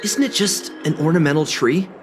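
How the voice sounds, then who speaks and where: A man's voice: on the high side, lively intonation, moderate pace, over music, lightly acted. An actor playing a cocky but cowardly young apprentice craftsman in a video game. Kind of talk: video game cutscene acting.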